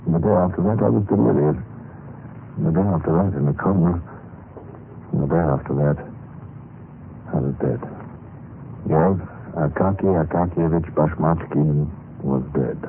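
Very deep, mournful dead clerk. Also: male voice, a little hiss or hum. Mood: mournful, grave. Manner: grave, measured, theatrical. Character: meek, dead Russian clerk mournfully telling his own story. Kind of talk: 1950s radio drama narration. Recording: muffled old radio recording with music. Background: music.

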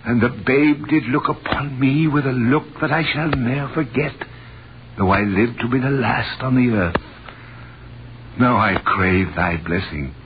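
An actor playing a humble, grateful physician of biblical times, earnest and formal, in 1930s radio style. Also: male voice, mid-range voice, measured pace, natural voice. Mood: humble, awed. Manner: earnest, formal archaic diction. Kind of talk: 1930s radio acting, archaic biblical speech. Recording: muffled noisy 1930s radio recording.

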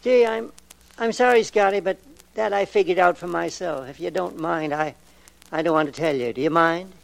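An actor playing a meek, eager-to-please hired killer in a 1940s radio crime drama, speaking hesitantly and apologetically. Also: male voice, on the high side, normal speed, vocal fry, rough recording. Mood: sheepish, apologetic.

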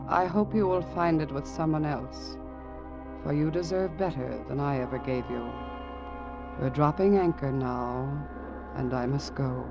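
An actor playing an earnest, doomed young man, confiding his story in measured, heartfelt vintage radio narration. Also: fairly high pitch. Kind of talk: dramatic first-person narration, vintage radio. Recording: muffled vintage radio recording. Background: prominent dramatic music.

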